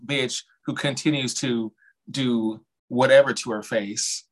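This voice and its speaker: Male co-host, mid-pitched, blunt and sassy, loose profane gossip among friends. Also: steady tone, slow pace, low-quality recording. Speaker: podcast co-host. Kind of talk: casual gossipy chat about reality television.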